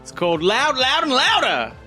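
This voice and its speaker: A man voicing a cocky, foul-mouthed young punk, loud and taunting, in a tabletop game. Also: extremely high pitch, huge pitch swings, moderate pace, faint music behind, voice pushed up, heavily acted. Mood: brash defiance.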